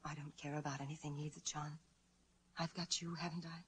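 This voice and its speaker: An actress playing a devoted, hopeful young wife, tender and earnest, in a 1940s radio drama. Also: female voice, deep voice, level tone, brisk, breathy edge. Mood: tender encouragement. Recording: old 1940s radio broadcast recording.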